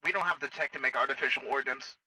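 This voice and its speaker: A man playing a blunt, matter-of-fact military medic in improvised sci-fi game roleplay, explaining things haltingly. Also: medium pitch, sharp tone, dry recording, rough recording.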